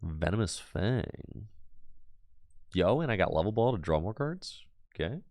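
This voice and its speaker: A man's voice: on the low side, big pitch swings, measured pace, darkish tone, no echo, studio quality. A man streaming a card game, losing his voice to allergies, muttering his plays aloud and chatting with viewers. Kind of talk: casual live-stream game commentary.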